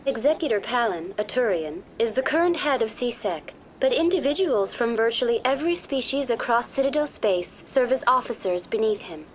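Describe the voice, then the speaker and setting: Woman as a high-voiced virtual guide, polite and formal. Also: lively intonation, moderate pace, hardly any pauses, slight background noise, close to an everyday voice. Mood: polite, informative. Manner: formal, courteous, precisely worded. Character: polite female virtual intelligence tour guide, calm and programmed. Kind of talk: scripted informational video game dialogue.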